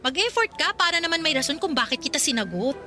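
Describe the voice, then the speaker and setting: A woman's voice: high-pitched, big pitch swings, fast, bright, forceful tone, heavily acted. An actress playing a feisty, blunt young working-student girlfriend with a Filipino accent, sassy and complaining, in a radio drama. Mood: sassy, teasing. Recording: music behind the voice.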